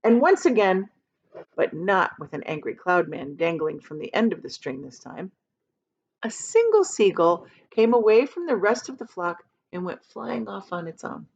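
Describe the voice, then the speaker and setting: Lady teacher doing storytime, super expressive up and down reading, bit of a muffled lo-fi recording. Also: medium pitch, normal speed, gravelly. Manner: expressive, dramatic reading for children. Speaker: teacher reading a children's book aloud. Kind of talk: animated storytime read-aloud with explanatory asides.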